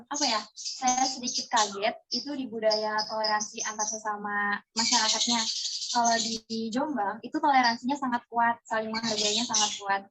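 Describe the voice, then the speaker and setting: A woman's voice: fairly high pitch, steady tone, moderate pace, noisy background. A young woman from East Java answering interview questions in a chatty way, with many hesitations and fillers. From East Java, Indonesia.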